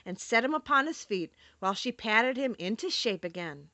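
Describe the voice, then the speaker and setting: A woman narrating a children's classic with a lively, rising and falling voice. Her tone is bright and a little high, and it sounds dry and close to the mic. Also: normal speed, no room sound. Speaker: audiobook narrator. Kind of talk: expressive audiobook narration.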